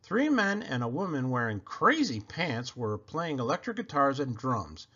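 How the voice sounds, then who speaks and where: A man reading a children's book aloud with expressive, emphatic delivery. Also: medium pitch, unhurried, highs cut off. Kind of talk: animated storybook read-aloud narration.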